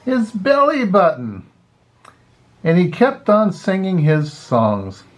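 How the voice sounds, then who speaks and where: A man's voice: high voice, wildly swooping pitch, slow. Older grandpa, folksy storytelling man. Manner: folksy, rambling, often saying "anyway". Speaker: grandfather reading picture books to children. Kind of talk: casual storytelling and chat to children.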